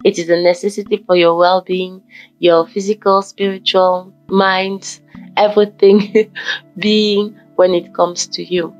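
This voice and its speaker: A woman from Ghana talking casually in a motivational vlog, with a croaky just-woken voice and a rambling manner that repeats and corrects itself. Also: medium pitch, flat delivery, a little slow, a little mellow. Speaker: vlogger. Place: forest fitness trail outdoors. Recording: dull lo-fi audio with faint background music.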